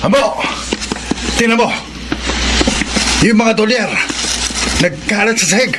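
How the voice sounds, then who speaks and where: A man's voice: sits high, takes it slowly. A man playing a cocky, scornful robber sidekick in a radio drama, speaking with a Filipino accent. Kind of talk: Tagalog radio drama acting. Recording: very noisy radio drama track.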